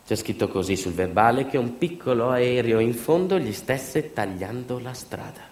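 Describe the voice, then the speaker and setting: A man performing a theatrical narrative monologue, sardonic and full of ironic asides, moving between emphatic delivery and quiet understatement. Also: medium pitch. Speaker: stage actor and narrator of a monologue. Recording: echoey, roomy sound.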